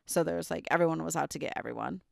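Gravelly young woman telling a true crime story. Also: low voice, very fast, darkish tone, dry recording, clean studio sound. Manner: chatty, full of "like" and "um" fillers. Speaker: podcast co-host.

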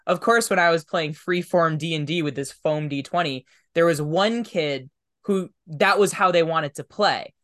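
Reflective podcast host, mid-pitched voice. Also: moderate pace, a bit bright. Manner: reflective, thinking aloud. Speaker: tabletop game master. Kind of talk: conversational interview, casual chat.

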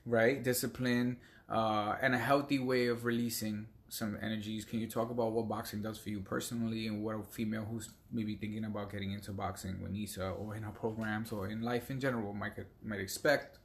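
A man's voice: lowish voice, little pitch movement, slight background noise. Upbeat male podcast host. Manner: upbeat, gives shout-outs, slows for emphasis. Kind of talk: casual podcast chat.

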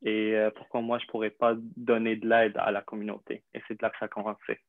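A young man from Syria, a native Arabic speaker, telling his story in an interview with many pauses, fillers and restarts. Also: lowish voice, monotone, vocal fry, no echo. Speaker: interview guest. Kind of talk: hesitant conversational interview. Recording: dry tinny phone-like line.

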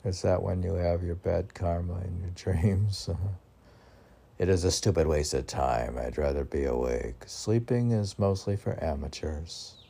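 A man's voice: sits low, a little slow, creaky, warm tone, husky. A man informally reading poems and commenting on them in a rambling, conversational way, posing questions and answering them himself.

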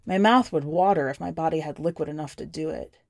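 A woman reading scripted first-person fiction as a podcast narrator, with measured, deliberate phrasing. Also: low-pitched, gravelly, darkish tone, no room sound, studio quality. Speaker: podcast host and story narrator.

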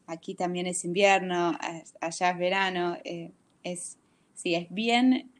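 Slow, thoughtful American woman on a video call. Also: fairly low pitch, steady tone. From United States. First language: English. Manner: thoughtful, searching for words with many pauses. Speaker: guest. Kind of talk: reflective video call conversation.

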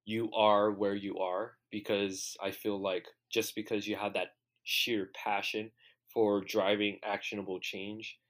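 Male host, slightly low voice, enthusiastic and encouraging, long wandering questions. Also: flat delivery, measured pace, fairly bright tone. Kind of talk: casual interview conversation.

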